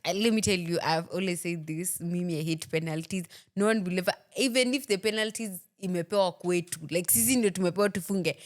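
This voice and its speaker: Kenyan woman co-host, lowish voice, playful and giggly, code-switching banter. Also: fairly quick, hardly any pauses. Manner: playful, giggly, mixes English with Swahili slang. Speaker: podcast co-host. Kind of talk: casual football podcast chat.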